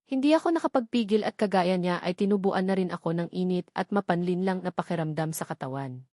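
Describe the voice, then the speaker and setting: A woman's voice: fairly low pitch, fairly quick, warm tone, dry recording, clean studio sound. A woman with a Filipino accent reading a first-person romance novel aloud as dramatic scripted narration. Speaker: audiobook narrator.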